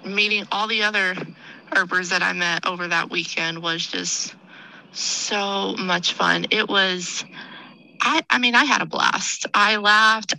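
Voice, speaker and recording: Heartfelt woman, bright gravelly voice. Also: lowish voice, no echo, poor audio. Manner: warm, heartfelt, says y'all a lot. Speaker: podcast listener sending a voicemail. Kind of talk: heartfelt recorded message.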